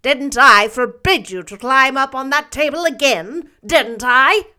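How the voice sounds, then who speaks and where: A woman's voice: mid-range voice, lively intonation, a little slow, pushed and bright. A woman reading a story, voicing a strict older woman guardian who scolds and gives firm commands. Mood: stern. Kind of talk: audiobook character reading.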